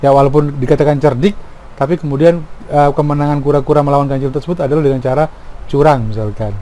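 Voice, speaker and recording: A man's voice: on the high side, steady tone, quick pace, warm tone. A middle-aged man gives an informal explanatory monologue, reflective and fond of rhetorical questions and little tags seeking agreement. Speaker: speaker giving commentary on folk tales.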